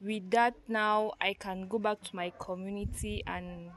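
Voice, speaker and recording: A woman's voice: mid-range voice, fairly even intonation, measured pace, noisy background. A woman giving a hesitant, unscripted interview answer as a seminar participant. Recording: crowd chatter behind.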